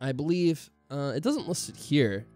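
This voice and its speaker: A man's voice: fairly high pitch, animated, normal speed, a little mellow, soft background music. A man hosting a gaming video in casual chat, rambling and a little self-deprecating. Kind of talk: casual chat over a game playthrough.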